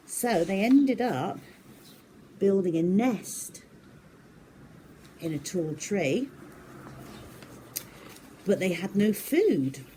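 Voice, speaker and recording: Slow-paced woman storyteller for children. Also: medium pitch, lively intonation, mellow, slight background noise, poor audio. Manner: addresses young listeners directly, emphatic. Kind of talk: expressive storytelling read aloud for children.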